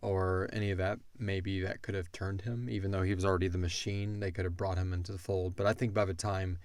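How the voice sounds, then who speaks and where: A man's voice: low voice, flat delivery, fairly quick, dry recording. A man hosting a casual Q and A, explaining in an informal, rambling way. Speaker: podcast host. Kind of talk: casual Q and A discussion.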